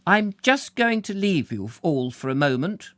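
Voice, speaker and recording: A man's voice: big pitch swings, unhurried. A man doing a prim reproachful old lady. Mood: shaky. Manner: reproachful, high and shaky. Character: prim elderly woman, a doctor's wife.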